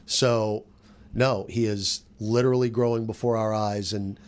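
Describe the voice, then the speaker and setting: A man's voice: on the low side, fairly even intonation, measured pace, clean tone. An American man, a sports radio announcer, giving casual analysis on a roundtable discussion.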